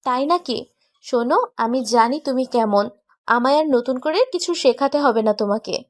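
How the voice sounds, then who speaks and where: Woman acting high-voiced, pouty young sweetheart. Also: expressive, normal speed, no echo, close to an everyday voice. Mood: indignant. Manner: complaining, playfully indignant. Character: young woman in love, pouty, scolding, teasing. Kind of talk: romantic audio story acting.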